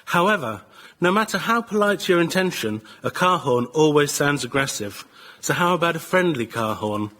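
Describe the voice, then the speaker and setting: A man presenting his idea as a show guest, politely and in a measured way. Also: fairly high pitch, expressive, sharp tone. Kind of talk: prepared pitch and polite explanation.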